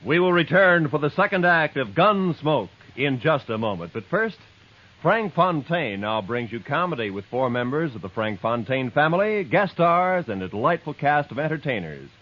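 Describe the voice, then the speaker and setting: A man announcing in polished 1950s network radio style. His voice is fairly high and swings in pitch. The old broadcast sounds slightly dull. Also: sing-song, normal speed.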